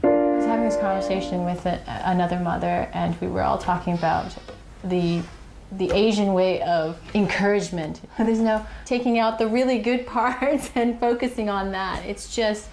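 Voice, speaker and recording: A woman talking briskly in a documentary interview about her children's schedules and practice. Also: medium pitch, fairly even intonation, a little mellow, faint music behind. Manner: brisk, coaching, laughs between sentences. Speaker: mother interviewed.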